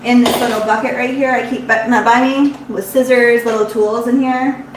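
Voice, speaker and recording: A congested woman with a runny nose chats in a rambling way from her home sewing space. Her voice bounces around a very echoey room and sits some way off the mic. A steady hum of room noise runs underneath her. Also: a little high, moderate pace, distant mic. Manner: chatty and rambling, with frequent restarts. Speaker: embroidery shop owner. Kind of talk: casual live-stream chat while sewing.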